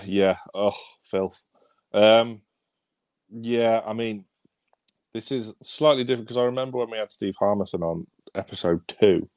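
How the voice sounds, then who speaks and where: Hoarse deep-voiced man chatting. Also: a little slow, a little mellow, no room sound. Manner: hesitant, many ums. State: hoarse from a cold. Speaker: podcast co-host. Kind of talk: casual football podcast chat.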